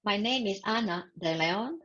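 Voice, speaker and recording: A woman in her eighties from Serbia giving personal testimony over a video call, speaking slowly in halting phrases. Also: medium pitch, expressive, fairly bright tone. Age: 80s. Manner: slow, halting, non-native phrasing. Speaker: Holocaust survivor interviewee. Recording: dull lo-fi video call audio.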